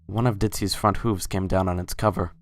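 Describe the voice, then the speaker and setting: Woman narrating a story, really deep voice, barely moves up or down. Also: steady tone, fairly quick. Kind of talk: scripted story narration, dramatic reading.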